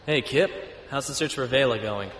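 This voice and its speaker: An actor playing a calm, thoughtful male starship captain, measured and understated, in a sci-fi audio drama. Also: medium pitch, heavy background noise, lightly acted. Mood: reflective.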